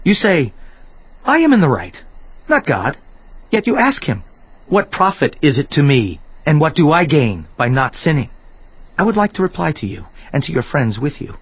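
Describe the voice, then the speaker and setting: A man's voice: fairly high pitch, very animated, normal speed, husky, no echo. An actor playing an earnest, indignant biblical man, declaiming in an oratorical dramatized scripture reading with rhetorical questions. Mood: reproving, questioning. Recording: dull lo-fi audio with music. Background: background music.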